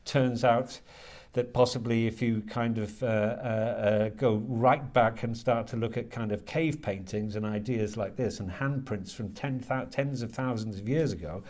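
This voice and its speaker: A man answering interview questions as a writer and lecturer, thinking aloud haltingly and often repeating words as he works out his ideas. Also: mid-range voice, darkish tone. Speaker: guest, creative writing lecturer and writer.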